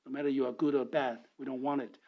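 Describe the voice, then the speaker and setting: A man from Taiwan, giving halting remarks full of fillers and restarts as a speaker at a policy forum. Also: medium pitch, steady tone, creaky, lo-fi sound. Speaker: panel speaker.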